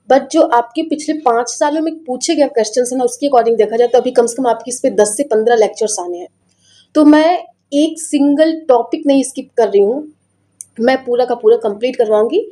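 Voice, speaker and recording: High, mellow North Indian woman teacher, sore throat. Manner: chatty, Hindi mixed with English, repeats phrases. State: sore throat, voice affected by illness. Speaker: teacher, online course presenter. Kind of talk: online lecture, informal explaining to students.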